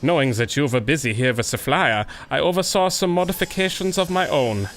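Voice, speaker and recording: An actor playing a proud, confident German baron and war officer, suave and commanding with a German accent in a radio drama. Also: male voice, a little high, expressive, fairly quick, fairly bright tone. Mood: smug, triumphant. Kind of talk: radio adventure drama acting.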